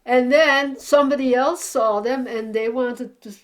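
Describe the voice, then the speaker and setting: A woman's voice: high-pitched, a little slow. A woman in her eighties from Belgium, telling rambling, chatty stories as an interviewee about her painting. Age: 80s. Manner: rambling, chatty, animated storyteller. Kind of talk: casual storytelling interview.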